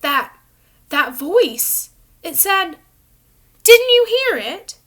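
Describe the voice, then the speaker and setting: A woman's voice: extremely high pitch, big pitch swings, very slow, a bit bright, pitched above the performer's own voice, exaggerated voice. A woman voicing an anxious young schoolboy. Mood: frantic. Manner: urgent, anxious. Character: schoolboy wizard, rattled and earnest.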